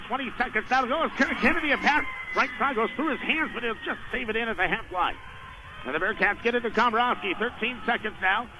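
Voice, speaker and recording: A man doing live radio play-by-play of a basketball game in clipped phrases, rising to excited shouts on big plays. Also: high voice. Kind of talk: live radio sports commentary. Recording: noisy radio broadcast from a gym.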